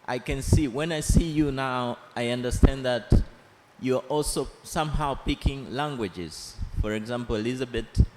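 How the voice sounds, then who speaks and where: A man from Tanzania in the audience, asking the panel a question in a deliberate, searching way. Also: medium pitch, a little mellow. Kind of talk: question from the floor. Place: large conference hall. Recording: long hall reverb, faint background noise.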